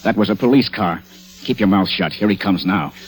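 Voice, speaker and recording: An actor playing a menacing villain who can put on a polite front. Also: male voice, fairly low pitch, a little fast, soft background music, natural voice. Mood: cold, threatening. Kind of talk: 1940s radio acting.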